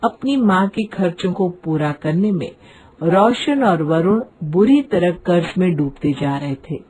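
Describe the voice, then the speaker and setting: A woman's voice: on the low side, lively intonation, measured pace, a little mellow, poor audio. A woman narrator reading a scripted moral family story.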